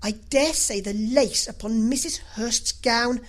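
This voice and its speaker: A woman voicing an excitable, gushing middle-aged mother in a novel reading, rambling on breathlessly and effusively. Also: fairly high pitch, slow, pitched above the performer's own voice. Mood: excited, rambling. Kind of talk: classic novel audiobook character reading.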